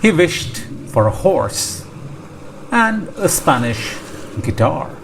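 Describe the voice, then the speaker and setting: A man reading a children's storybook aloud as scripted narration, measured and pausing between short phrases. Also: fairly high pitch, very animated. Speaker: storybook reader. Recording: clear background noise, ambience. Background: ocean ambience sound effects.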